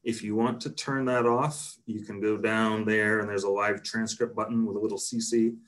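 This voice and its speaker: A man's voice: fairly low pitch, flat delivery, moderate pace. A middle-aged man hosting a virtual interview over a video call, friendly and full of ums. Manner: friendly, filler-heavy. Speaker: host.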